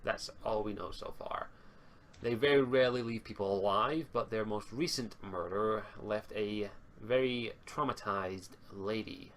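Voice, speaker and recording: A man playing a secretive, terse informant in a tabletop game, speaking in a clipped, portentous, deliberate way. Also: lowish voice, a little hiss or hum. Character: secretive, terse, stern male informant briefing monster hunters.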